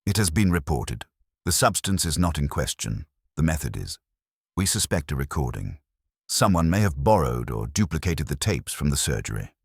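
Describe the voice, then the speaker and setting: Calm male inspector read by a male narrator, extremely low voice, wry and formal, close dry sound. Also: normal speed, gravelly, slightly rough, dry recording, full character voice. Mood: civil, gentle.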